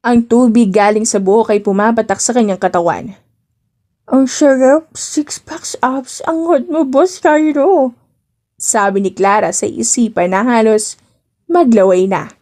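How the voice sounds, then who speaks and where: A woman's voice: medium pitch, darkish tone. A woman narrating a scripted romance story as an audiobook narrator, in a dramatic storytelling style.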